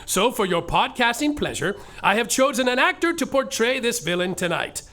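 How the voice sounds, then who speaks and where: A man's voice: very high voice, big pitch swings, normal speed, pushed and bright. A man narrating a comedic story live on a theater stage, hammy and increasingly exasperated. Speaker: narrator and lead performer. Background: live band music.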